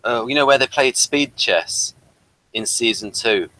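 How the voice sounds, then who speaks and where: Bright-voiced man chatting about TV. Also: medium pitch, a little slow, poor audio. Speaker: review show co-host. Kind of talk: casual TV episode review discussion.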